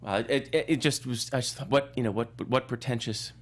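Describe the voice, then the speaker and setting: A man's voice: medium pitch, brisk. A man chatting casually as a panelist on a film show, opinionated, dry and offhand.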